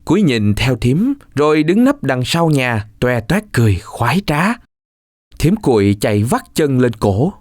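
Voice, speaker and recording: A man narrating a children's folk tale from a script in an expressive, measured storytelling manner. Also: mid-range voice, no echo, clean studio sound.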